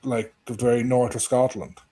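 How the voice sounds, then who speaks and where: A man explaining history in a measured, knowledgeable way, with small restarts. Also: mid-range voice, level tone, a crowd in the background. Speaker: history commentator. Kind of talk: casual discussion.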